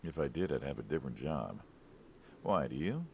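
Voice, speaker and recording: A man's voice: extremely low pitch, expressive, moderate pace, creaky, slight background noise, exaggerated voice. A man playing a friendly, inquisitive, wryly skeptical middle-aged American mobster in naturalistic video game dialogue. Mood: bemused, skeptical.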